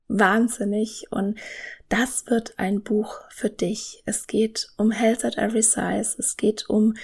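Solo podcast host, woman in her 30s, breathy and husky tone, earnest and upbeat. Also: mid-range voice, darkish tone. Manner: earnest, enthusiastic, speaks directly to the listener.

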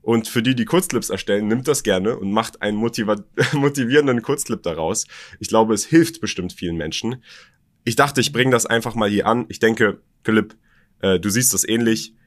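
A young adult man from Germany with a Berlin German accent, co-hosting a podcast and talking in a reflective, personal way with halting restarts. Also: fairly low pitch. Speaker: podcast co-host. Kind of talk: casual podcast conversation.

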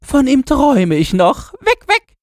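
A man voicing a boastful, nostalgic old chained dog in a shrill, yapping falsetto for a storybook. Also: huge pitch swings, measured pace, no room sound, studio quality, heavily acted. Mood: excited, knowing. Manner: knowing, exclamatory, barking.

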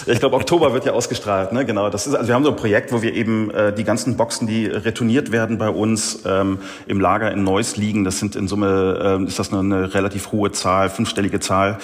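A man's voice: lowish voice, level tone, brisk, hardly any pauses. A man being interviewed as a company guest, explaining casually and hesitantly with repeated words. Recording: long reverberant room echo.

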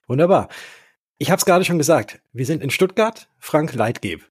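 Husky-voiced guy hosting a podcast, upbeat chatty questions, dry sound. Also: fairly high pitch, animated, normal speed, dry recording. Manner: upbeat, conversational. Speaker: podcast host. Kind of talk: friendly interview questions.

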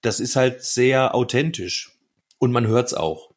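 A man's voice: mid-range voice, slow pace. A man co-hosting a casual music podcast, explaining things in colloquial language. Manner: colloquial, explanatory, with tag questions. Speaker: podcast co-host.

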